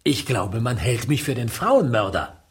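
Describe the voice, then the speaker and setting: A man with a very rough, husky voice and lively swings of pitch. He sounds weary and anxious, sardonic and reproachful, like someone under suspicion. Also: measured pace, heavily acted. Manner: reproachful, sarcastic. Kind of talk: radio drama acting, dialogue.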